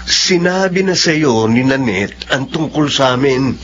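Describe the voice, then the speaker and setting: An actor playing an earnest, proud young boyfriend in a radio drama, with a husky voice. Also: male voice, mid-range voice, expressive, a little slow, a bit bright. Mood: guarded, firm. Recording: muffled lo-fi drama audio with music.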